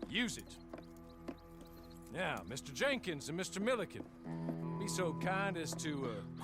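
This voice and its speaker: A smooth, mannered fixer in a video game, every word courteous and precise, with a dry politeness. His voice sits a little high. Music plays clearly behind him. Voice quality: polished, formal diction, dry politeness. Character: suave, courteous middle-aged male fixer. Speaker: outlaw rescuer in a game cutscene.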